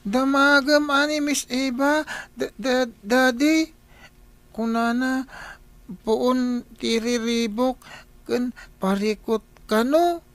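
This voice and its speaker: An actress with an Ilocano accent playing a young boy who talks haltingly and innocently in a radio drama. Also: sits high. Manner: halting, stammering repeats.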